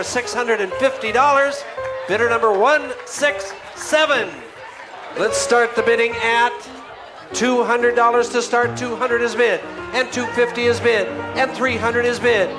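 A middle-aged man working as an auctioneer at a live benefit auction, chanting repeated bids into a handheld microphone in a banquet hall. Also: very high voice. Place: large banquet hall, on stage. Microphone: handheld microphone through a PA.

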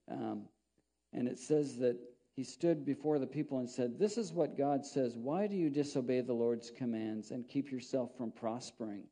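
Man, middle-aged, pastor, sermon, very mellow dark tone, rambling conversational delivery, small church, podium mic. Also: mid-range voice. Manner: conversational, rambling, with frequent restarts. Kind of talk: sermon with scripture reading. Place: small church sanctuary, indoors.

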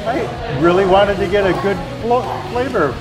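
A man's voice: sits high, expressive, normal speed. A man talking casually as an off-camera co-host. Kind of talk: casual chat. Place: indoor brewery taproom. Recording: noisy taproom with loud music and crowd. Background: loud music, crowd chatter.

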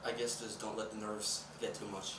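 A young man, a college baseball pitcher, answering questions in a post-game interview. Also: little pitch movement, normal speed. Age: young adult. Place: indoor press conference room at a table. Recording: echoey, distant mic, clear room noise.

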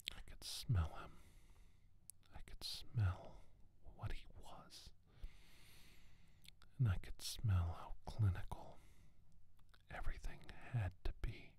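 A man playing a shaken doctor in a whispered ASMR roleplay, recounting an alien abduction in a hushed, halting way. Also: low-pitched. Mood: violated, anguished.

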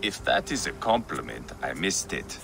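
An actor playing a brash, volatile Viking warrior in a video game, boastful and battle-hungry, with a swaggering, mocking delivery. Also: male voice, sits low, sing-song, normal speed, gravelly, sharp tone, slight background noise, heavily acted. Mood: brooding. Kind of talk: dramatic video game acting.

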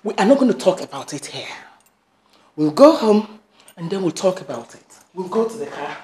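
A woman's voice: lowish voice, very animated, normal speed, a little mellow. An actress playing a possessive, domineering middle-aged mother in a melodrama, commanding and guilt-tripping, repeating herself. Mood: coaxing. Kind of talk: melodramatic screen drama dialogue.

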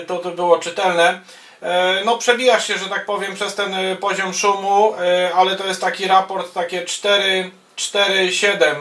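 A man's voice: sits high, a bit bright. A man making amateur radio calls and casual commentary, procedural, with hesitant phrasing, recorded from a distance in a small room. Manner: procedural, spells callsigns in phonetic words, hesitant between phrases. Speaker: amateur radio operator and YouTuber. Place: small wood-panelled radio room indoors. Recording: roomy, distant microphone sound.

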